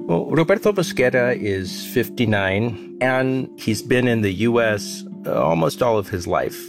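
A man reporting and explaining a story in a measured news conversation, with small restarts. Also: mid-range voice, lively intonation, vocal fry, music in the background.

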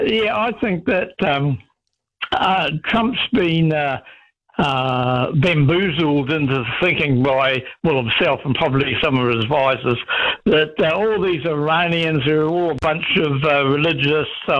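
A man calling a radio phone-in, giving opinions casually in a halting, pause-filled way over a phone. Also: on the high side, a bit bright. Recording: dull lo-fi phone call.

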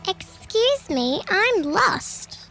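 A woman's voice: very high voice, sing-song, extremely slow, vocal fry, pushed and bright, soft background music, voice pushed up, heavily acted. A woman voicing a tiny lost young furry creature in a storybook, tentative, stammering and plaintive. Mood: timid, lost.

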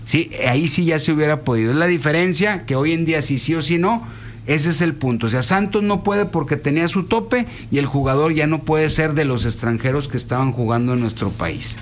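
A man's voice: fairly high pitch, a little fast, a little hiss or hum. A man hosting a casual football talk show on the radio, rambling and restarting his phrases. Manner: rambling, restarts and repeats himself. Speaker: sports radio host.

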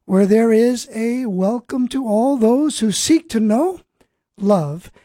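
A woman hosting a faith radio program, introducing and interviewing a guest in an earnest, gently devotional way. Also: medium pitch, no room sound. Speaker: host.

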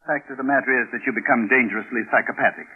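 A man's voice: mid-range voice, steady tone, very fast, husky. An actor playing a menacing, self-justifying middle-aged stepfather in an old-time radio thriller, insistent and coldly formal. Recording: tinny telephone-like old radio recording.

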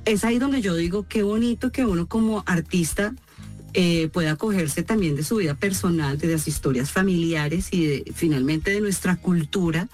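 A Colombian woman with a Colombian accent, an actress answering interview questions in an expressive, storytelling way. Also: lowish voice, normal speed, faint music behind. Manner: expressive, storytelling with acted quotes. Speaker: actress guest.

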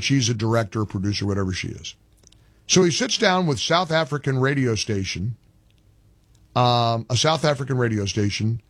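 A man's voice: medium pitch, breathy edge, no echo. A man hosting a talk radio show, delivering an opinionated monologue and pressing listeners with pointed questions. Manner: incredulous, emphatic, rising to pointed questions.

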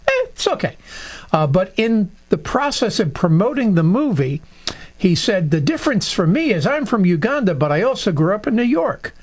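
A man's voice: sits high, big pitch swings, normal speed, husky. A man co-hosting a political talk radio show, telling stories with sarcastic asides.

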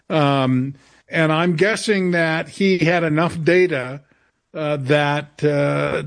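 Slow gravelly guy being interviewed, kinda high for a man, keeps stopping to find words. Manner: halting, searching for words. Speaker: interview guest. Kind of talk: unscripted radio interview.

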